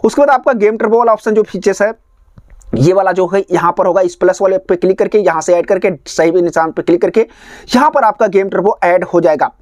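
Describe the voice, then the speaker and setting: A man's voice: sits high, a little fast, a little mellow, no room sound. A man hosts a phone tutorial. He explains one step at a time, often repeating his instructions. The recording is dry, with very little room sound around the voice. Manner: repetitive, instructional phrasing. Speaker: tech tutorial host.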